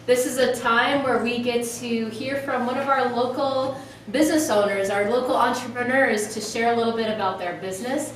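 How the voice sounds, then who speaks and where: A woman with a fairly high voice welcomes a group as host and introduces a speaker. She is heard from some distance in a very echoey room, with faint noise. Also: normal speed. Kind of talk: welcome speech, partly read introduction. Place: indoor meetup room.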